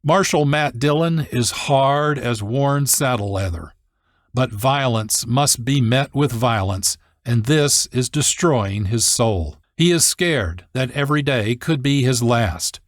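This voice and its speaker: A man narrates in a mid-pitched voice, recorded close and dry. His scripted documentary delivery is measured and deliberate. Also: no room sound. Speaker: host and narrator.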